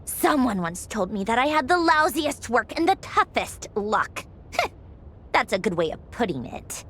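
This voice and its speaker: High-voiced, proud, irritable young woman. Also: sing-song, measured pace, fairly bright tone, slightly rough, full character voice. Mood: wry, exasperated. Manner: indignant, emphatic, sardonic. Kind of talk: dramatic game voice acting. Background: background music.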